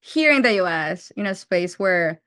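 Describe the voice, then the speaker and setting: A woman's voice: fairly low pitch, lively intonation, unhurried, fairly bright tone, dry recording. A woman in her forties with a Colombian accent, a startup founder answering interview questions with frequent restarts and fillers. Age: 40s.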